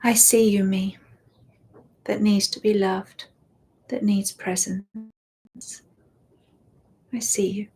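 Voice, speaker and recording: A woman guides a meditation in a husky, breathy voice with a gravelly edge. She speaks very slowly and gently, her words often fading into soft whispers. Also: medium pitch, little pitch movement. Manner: slow, soft and soothing, drifting into whispers.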